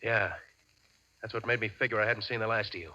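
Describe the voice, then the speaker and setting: An actor playing a stubborn, guarded captive in 1940s radio crime drama style, terse and tough. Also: male voice, fairly low pitch, steady tone, fast talker, pushed and bright. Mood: defiant, guarded. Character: stubborn, defiant male captive hiding stolen money. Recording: dull old radio broadcast recording.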